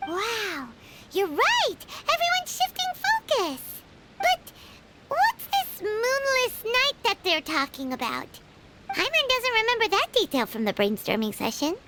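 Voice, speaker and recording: A woman voicing a tiny, excitable fairy companion who chatters in a high, squeaky voice. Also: unhurried, a little hiss or hum, exaggerated voice. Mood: curious. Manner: squeaky, excitable, refers to itself in third person.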